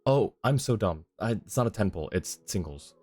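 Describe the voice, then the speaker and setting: A man's voice: lowish voice, expressive, normal speed, darkish tone, breathy edge. A man chatting casually on a game livestream, thinking aloud in a rambling, easygoing way. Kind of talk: casual livestream chat while playing a game. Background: background game music.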